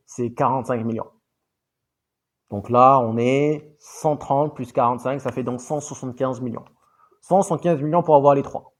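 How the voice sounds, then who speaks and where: Male football vlogger, mid pitch, conversational and animated, addresses viewers directly. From grew up in Saudi Arabia. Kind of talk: casual commentary to viewers.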